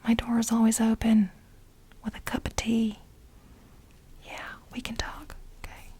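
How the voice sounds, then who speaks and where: A woman in a soft-spoken ASMR role play as a fawning female lab assistant, mostly whispering in a breathy, halting, coaxing way. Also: on the high side, completely flat delivery, slight background noise. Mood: coaxing, sympathetic. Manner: halting, coaxing delivery with repeated phrases.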